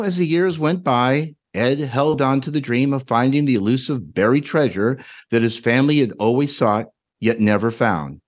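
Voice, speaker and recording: Male podcast host, dramatic reader of his own flash fiction, dull lo-fi sound. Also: medium pitch. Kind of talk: dramatic story reading.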